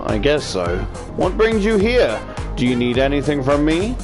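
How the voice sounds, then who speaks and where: A man's voice: fairly high pitch, very animated, normal speed. A man with an Australian accent voicing a breezy, unbothered young woman from a visual novel. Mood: casual, unbothered. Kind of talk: visual novel dialogue read aloud. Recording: game music behind the voice.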